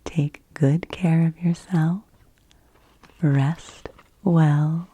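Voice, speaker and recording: Woman, ASMR host, low mellow voice, breathy whispering, gentle soothing delivery. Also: steady tone, very slow. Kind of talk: soft-spoken and whispered ASMR.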